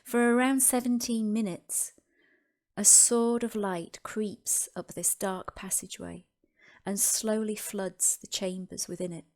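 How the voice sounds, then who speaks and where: A woman narrating a scripted guided meditation as host, with deliberate, reverent phrasing and pauses. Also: mid-range voice, fairly even intonation, a little mellow.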